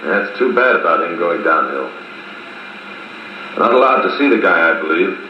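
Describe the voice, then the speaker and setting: An actor playing a brisk, decisive American military officer running a conference in a 1950s radio drama. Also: male voice, sits low, expressive. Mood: matter-of-fact. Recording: muffled, echoey 1950s wire recording with hiss.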